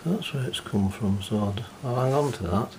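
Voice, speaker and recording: A man's voice: mid-range voice, lively intonation, fairly quick, darkish tone, a little hiss or hum. A man casually narrating as he cleans parts of an old tape deck, muttering an easygoing running commentary. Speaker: hobbyist repairing a reel-to-reel deck.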